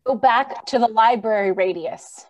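Woman as anxious gentle lady in old time radio play, fairly high voice, earnest n pleading. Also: measured pace, dull audio. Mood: firm. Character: idealistic, anxious, gentle lady who loves humanity. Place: remote video call.

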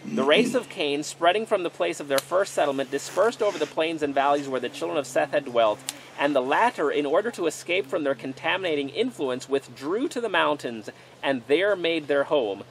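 A man's voice: fairly high pitch, lively intonation, a little fast, gravelly, a little hiss or hum. A man in his forties, a pastor leading an outdoor sermon and Bible study, reading passages aloud and then preaching in a conversational way, recorded by a camera at a distance outdoors under a tent canopy.

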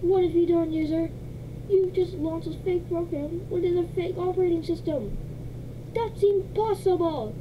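A woman voicing a bossy, panicky female operating system in a video game, shrill and excitable. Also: moderate pace, highs cut off. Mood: panicked. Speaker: game narrator voice. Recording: noisy game capture.

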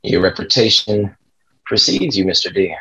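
A man acts a defiant young jazz pianist in a table read. His voice is husky and firm, coming through slightly dull video-call audio. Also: on the low side, animated, normal speed, bright, forceful tone, heavily acted. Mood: cocky. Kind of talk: script table read acting. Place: small room at home, on video call.

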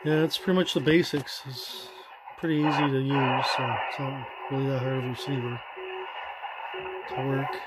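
A man giving a casual, halting hands-on walkthrough as a radio hobbyist, in loose unscripted phrasing. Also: mid-range voice, little pitch movement. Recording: loud radio static and hiss. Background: shortwave receiver noise.

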